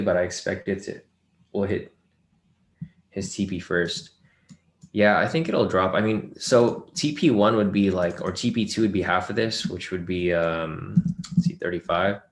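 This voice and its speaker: A man's voice: low-pitched, roomy sound. A man hosting a live trading webinar, talking through charts and chat questions loosely with many fillers, his voice worn from a long day of sessions. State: losing his voice. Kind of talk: live screen-share trading lesson.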